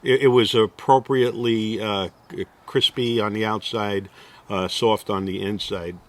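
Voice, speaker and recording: Low-voiced man host, halting and opinionated. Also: fairly even intonation. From Brooklyn. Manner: hesitant, many uh fillers, opinionated. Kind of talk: casual interview.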